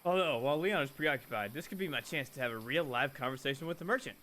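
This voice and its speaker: A man's voice: mid-range voice, quick pace, pushed and bright, natural voice. A man voicing a chatty, sarcastic young woman who narrates her story in a visual novel. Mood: curious.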